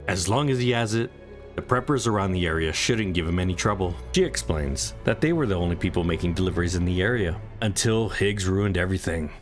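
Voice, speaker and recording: A man reading scripted story recap narration. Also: deep voice.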